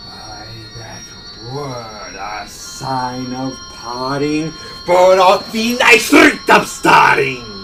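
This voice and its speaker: A man's voice: medium pitch, expressive, very slow, over music. A man in his thirties giving a theatrical, intense recitation of gothic horror verse in a living room. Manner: melodramatic, intense, swinging from hushed to shouted. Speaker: performer reciting a poem. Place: carpeted basement living room with low tiled ceiling.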